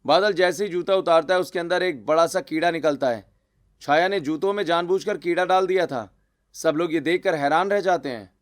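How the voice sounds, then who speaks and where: A man's voice: on the high side, a little fast, dry recording. A man reading a scripted story as its narrator.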